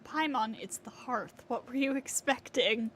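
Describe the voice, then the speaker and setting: A woman's voice: fairly high pitch, measured pace, very noisy. A woman streaming a game, reacting casually with wry, playful asides. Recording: game music behind the voice.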